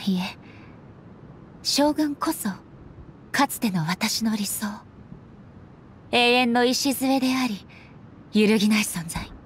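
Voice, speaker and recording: A woman playing a solemn, resolute warrior goddess in a Japanese anime game, speaking formally in a grave, measured manner. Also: a little high, a little hiss or hum. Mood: calm, resolute. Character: solemn, resolute warrior goddess and her stern puppet shogun.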